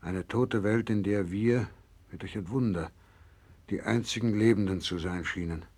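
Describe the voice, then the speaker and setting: An actor playing a grave, resolute doctor who survived a disaster, in a 1960s German radio play, solemn when narrating and commanding when giving orders. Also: male voice, on the low side, a little mellow, breathy edge. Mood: grave, stunned. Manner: solemn measured narrating, urgent commanding in dialogue. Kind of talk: 1960s German radio drama acting, first-person narration.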